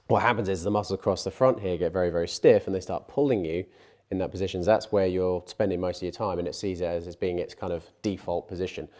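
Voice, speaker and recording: Low, mellow male fitness coach with a blocked nose; calm, steady stretch cues, a dry joke now and then. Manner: calm coaching with light self-mocking asides. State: congested from a cold, a bit nasal. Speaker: fitness instructor. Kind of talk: guided stretching class.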